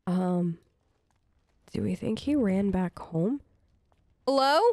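A woman's voice: medium pitch, lively intonation, slow, a little mellow, no echo, clean studio sound. A woman doing casual in-character commentary as she role-plays through a video game, chatty and reassuring, thinking aloud. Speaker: gaming host, role-play let's player. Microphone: close microphone, dry voice-over.

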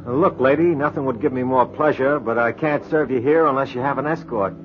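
An actor playing a skeptical, plain-spoken bartender in 1940s radio drama style. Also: male voice, mid-range voice, brisk, lightly acted. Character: skeptical, world-weary male bartender. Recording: muffled, noisy vintage radio recording.

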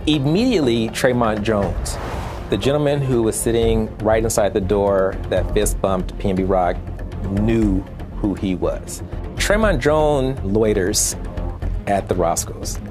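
A man speaks in a formal news interview, laying out a criminal case step by step. His voice is on the low side. Music plays clearly under him. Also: a little slow. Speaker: lawyer interviewed in a news report.

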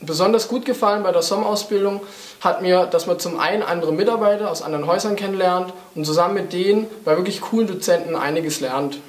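Young man with a high, roomy voice. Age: 20s. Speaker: drum department apprentice. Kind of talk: testimonial to camera. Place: drum shop, indoors.

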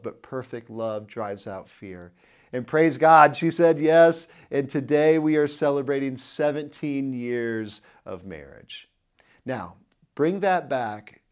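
Earnest male preacher telling a story. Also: medium pitch. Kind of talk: sermon to camera. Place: indoor studio set. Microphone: lapel.

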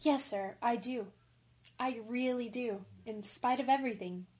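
Impulsive young tomboy, woman actor: high voice, candid, rambling, breathless radio-play delivery. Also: measured pace, a crowd in the background, close to an everyday voice. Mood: frank, earnest. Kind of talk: radio drama acting.

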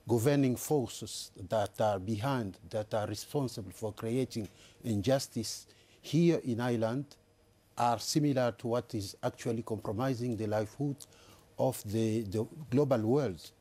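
An older man with a Congolese accent, speaking in a halting, deliberate way as a guest candidate in a TV panel interview. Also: medium pitch, dark tone, breathy edge. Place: TV news studio, indoors. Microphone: lapel mic.

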